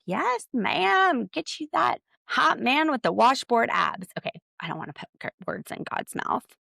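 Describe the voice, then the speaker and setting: A woman's voice: fairly low pitch, vocal fry, dry recording. A woman hosting a podcast and recapping reality TV with sassy, snarky commentary. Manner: snarky, sassy, animated asides. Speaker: podcast host.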